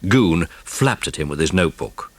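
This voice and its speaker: A man's voice: deep voice, animated, normal speed, no echo. A man narrating a dramatised children's storybook. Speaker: audiobook narrator.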